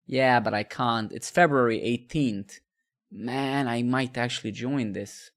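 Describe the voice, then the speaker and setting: A man's voice: mid-range voice, measured pace. A man, chatting casually with viewers during a live coding stream, rambling and repeating words. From Serbia.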